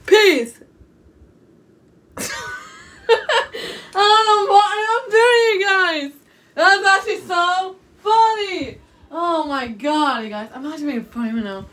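A woman's voice: high voice, a bit bright. A young woman vlogging casually from a small room into a propped-up phone, rambling with lots of fillers and a sore throat. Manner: rambling, repeats herself, many fillers. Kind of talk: casual rambling vlog to viewers. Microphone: phone camera propped nearby.

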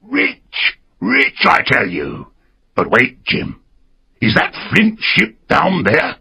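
A man voicing an excitable, half-crazed old castaway marooned for years, high and pleading, his voice breaking. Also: slow, vocal fry, husky, exaggerated voice. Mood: excited pleading. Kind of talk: audiobook character reading.

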